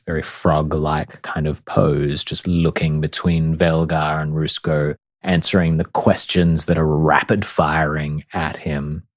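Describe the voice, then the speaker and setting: A man's voice: very deep voice, no echo, studio quality. A man narrating a tabletop fantasy game as its game master. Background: music.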